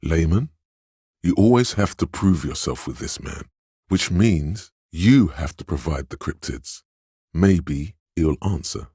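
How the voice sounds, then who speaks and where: A man voicing a suave, insinuating inner voice of persuasion in a video game, narrating in a measured, knowing, gently coaxing way. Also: animated, dry recording, studio quality, exaggerated voice. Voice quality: very deep, husky. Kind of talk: scripted video game narration in second person.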